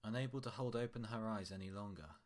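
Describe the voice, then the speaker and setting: A man with a low-pitched voice narrates a piece of fiction. He reads scripted prose as the storyteller, in a deep register. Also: fast talker.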